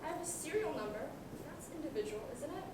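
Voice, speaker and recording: A martyred, coaxing washing machine voiced by a woman in a student stage comedy, pleading in a very high voice with big melodramatic swoops. It sounds far off in an echoey hall with background noise. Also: animated, fast talker, darkish tone. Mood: martyred, self-pitying. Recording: distant mic, echoey noisy hall.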